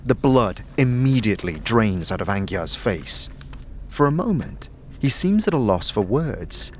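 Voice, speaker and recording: A man's voice: fairly low pitch, very animated, measured pace, slight background noise. A man reading scripted second-person fantasy narration as the storyteller of an audio drama. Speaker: narrator and protagonist's voice.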